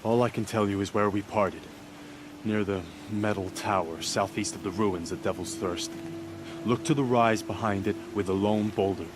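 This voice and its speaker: Man acting a grave, low-voiced warrior, noisy background. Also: normal speed, natural voice. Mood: solemn, earnest. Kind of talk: dramatic video game acting. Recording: game scene with noisy outdoor ambience.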